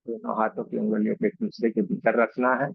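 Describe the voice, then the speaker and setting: A man leading a guided meditation as a reiki guide, speaking slowly and soothingly with pauses and repeated phrases. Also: on the low side, level tone, creaky, husky, no room sound, narrow, tinny audio. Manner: slow, soothing, repetitive instruction with frequent pauses.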